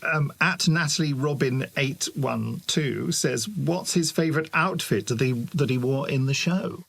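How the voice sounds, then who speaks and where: A man with a British accent and a gravelly, husky voice, putting questions in a conversational interview. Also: medium pitch, measured pace, a bit bright.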